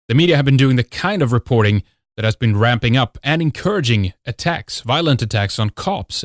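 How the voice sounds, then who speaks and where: A man's voice: mid-range voice, no echo, studio quality. A man from Sweden with a Swedish accent, hosting a talk radio show. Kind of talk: talk radio monologue and guest introduction.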